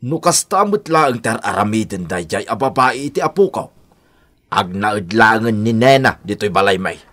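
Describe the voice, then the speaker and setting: A man's voice: fairly low pitch, lively intonation, normal speed, a bit bright. An actor playing a protective, indignant old grandfather in a radio drama, firm and grumbling. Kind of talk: Ilocano radio drama acting.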